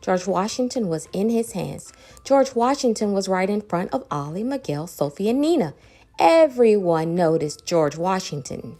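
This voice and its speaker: A woman narrates a children's picture book. Her delivery is very animated, with pitch that rises and falls for dramatic emphasis. Music accompanies the reading. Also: normal speed. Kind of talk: expressive storybook read-aloud.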